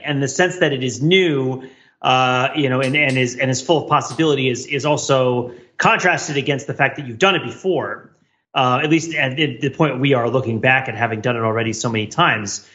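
A man's voice: medium pitch, a bit bright, highs cut off. A man co-hosting a casual podcast, thinking aloud in an analytical, discursive way. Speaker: podcast co-host. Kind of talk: casual panel chat.

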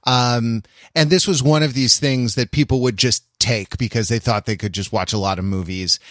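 An American man co-hosts a podcast in a casual, jokey register. He speaks at a middling pitch and wanders through asides as he goes. The sound is dry, with almost no room. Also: steady tone, normal speed, no room sound. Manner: digressive, jokey. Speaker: podcast co-host. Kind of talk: casual chat.

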